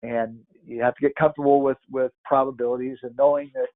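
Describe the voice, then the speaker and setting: A man's voice: mid-range voice, little pitch movement, fast talker, no room sound. A man with a congested, stuffy nose giving casual market commentary, rambling and thinking aloud with lots of restarts. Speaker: market analyst. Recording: tinny phone-like dry audio.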